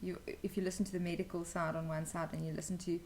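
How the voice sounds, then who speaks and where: A woman's voice: lowish voice, little pitch movement, fast talker, darkish tone, slight background noise. A young woman telling a personal story as an interview guest, casually and candidly, with some hesitation. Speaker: interview guest, new mother.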